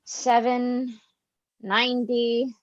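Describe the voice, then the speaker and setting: Dull, dry video-call sound carries a dour young married woman, played by an actress in a stage play. She speaks slowly and deliberately, in a plain, slightly high voice. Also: level tone, no room sound. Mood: flat, businesslike. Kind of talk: cold read of a classic stage play.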